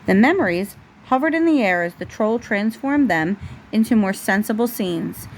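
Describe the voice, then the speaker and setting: A woman's voice: medium pitch, expressive, normal speed, low-quality recording. A woman with a mid-range voice reads a bedtime storybook aloud as a parent. It is a home recording with light noise behind her.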